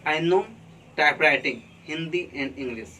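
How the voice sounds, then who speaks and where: A man with an Indian accent teaching a class. He talks slowly and deliberately and keeps checking in with his students. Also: a little high, bright, forceful tone, slight background noise. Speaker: college teacher. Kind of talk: classroom lesson on letter writing. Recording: distant mic with faint room ambience.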